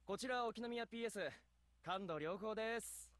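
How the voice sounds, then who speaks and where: A man's voice: sits high, racing, a bit bright. An actor playing a polite young male police dispatcher in a visual novel, making formal radio call-outs. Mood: polite, businesslike. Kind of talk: Japanese visual novel voice acting.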